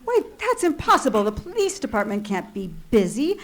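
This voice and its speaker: An actress plays a frantic wife confined to her bed in an old-time radio thriller. Her voice sits fairly high. She is agitated, halting and imperious, a woman badly on edge. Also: big pitch swings. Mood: frantic, exasperated. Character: frantic bedridden wife, nervous, demanding and alone. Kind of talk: 1940s radio suspense acting, performed live on stage.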